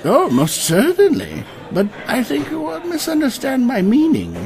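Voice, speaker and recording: A man's voice: very high voice, wildly swooping pitch, unhurried, a little mellow, voice pushed up, exaggerated voice. A man playing a courteous, weary, eccentric old knight in his seventies, speaking formally and hesitantly in a comic fantasy audio drama. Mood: earnest, courtly. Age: 70s in character. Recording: music behind the voice.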